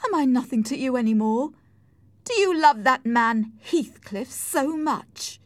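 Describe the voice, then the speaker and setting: A woman voicing an anxious, grieving gentleman husband in an audiobook, speaking earnestly and sorrowfully. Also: sits high, animated, a little slow, no echo, voice pushed up. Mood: hurt, pleading. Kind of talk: audiobook character acting.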